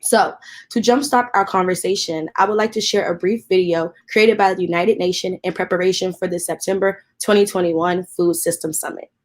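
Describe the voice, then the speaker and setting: A woman's voice: lowish voice, fairly even intonation, brisk. A young woman moderating an online youth panel and reading a prepared background introduction. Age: young adult. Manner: friendly, reads prepared background. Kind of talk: hosting a video-call panel.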